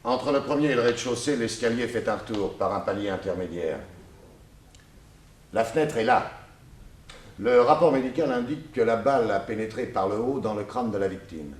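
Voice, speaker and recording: An actor playing a calm, courteous detective who questions people methodically in a 1960s French radio drama. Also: male voice, medium pitch, lightly acted. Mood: analytical. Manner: measured, courteous, probing.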